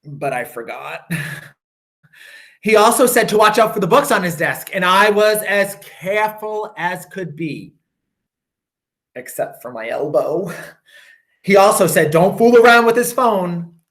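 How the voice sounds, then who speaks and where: Bright-voiced man reading a storybook aloud. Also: high-pitched. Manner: expressive, drawn-out emphasis on repeated phrases. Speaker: teacher. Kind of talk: reading a children's picture book aloud.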